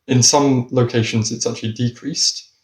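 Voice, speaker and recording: A man of middle pitch answering interview questions as a climate scientist. He speaks in a measured, explanatory way, and his voice has a noticeable roomy echo. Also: steady tone.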